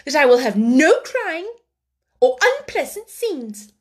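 A woman's voice: high voice, very animated, slow, voice pushed up, exaggerated voice. A woman voicing a cold, stern middle-aged schoolmistress who gives clipped, commanding orders.

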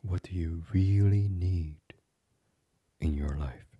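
Very deep, breathy man guiding sleep meditation. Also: no room sound, highs cut off. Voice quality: breathy, husky, partly whispered. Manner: soothing, hushed, gentle, with many pauses. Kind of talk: guided sleep meditation, soft ASMR reading.

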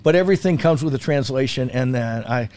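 A man's voice: medium pitch, a little fast, darkish tone. Jokey, casual male food commentator. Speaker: food commentator on a talk show. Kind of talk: casual talk radio chat.

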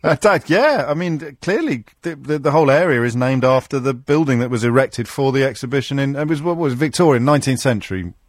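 A man's voice: mid-range voice, expressive, fairly quick, few pauses, no echo. A middle-aged man hosting a radio phone-in, dry and teasing, with a self-mocking humour. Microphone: studio microphone.

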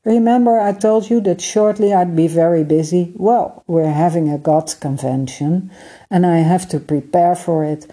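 A woman voicing a patient, kindly male sky god who calmly explains things in a storybook reading. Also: fairly low pitch, close to an everyday voice. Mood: patient, explaining. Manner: calm, unhurried, gently explaining. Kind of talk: storybook read-aloud.

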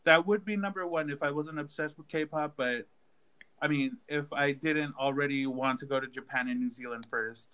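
A man chatting casually with friends, animated and joking, with lots of "like" fillers. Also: fairly high pitch, moderate pace, poor audio. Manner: animated, joking, uses "like" often. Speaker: group chat member. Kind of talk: casual group chat.

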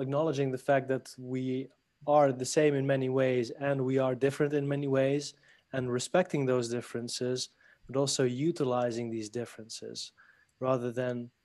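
A man from France, a guest on a podcast interview, speaking thoughtfully with many pauses and restarts. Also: medium pitch, darkish tone, dry recording. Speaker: guest, leadership trainer.

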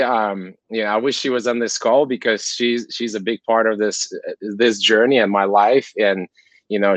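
Dull-sounding low-voiced man from Kazakhstan. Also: no echo. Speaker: interview guest, sports ministry leader. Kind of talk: conversational interview, personal story. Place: remote call. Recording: dull lo-fi call audio.

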